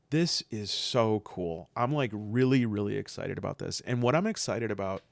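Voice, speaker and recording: A man with a fairly low, gravelly voice, recorded close and dry with almost no room sound. He talks through game rules casually and earnestly, stopping and restarting as he thinks, and he sniffles from a cold that's coming on. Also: no echo. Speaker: solo tabletop game player and podcaster. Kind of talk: casual rules review, reading aloud and commenting.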